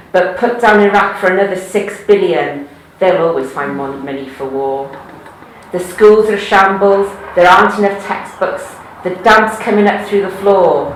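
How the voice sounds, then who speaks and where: A woman's voice: mid-range voice, a little hiss or hum. A woman from Wales with a Cardiff accent, reading poems aloud at a live event with chatty asides in between. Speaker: poetry reader. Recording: echoey room.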